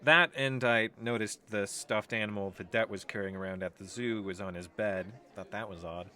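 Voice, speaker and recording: A man's voice: on the low side, steady tone, normal speed, bright, forceful tone. A man playing an easygoing, wry leader of a biker crew in a comedic audio drama.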